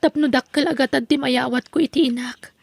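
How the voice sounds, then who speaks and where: A woman's voice: high-pitched, steady tone, fairly quick, gravelly. A woman with an Ilocano accent playing a tired, dutiful young daughter who works night jobs, speaking earnestly and plaintively in a radio drama.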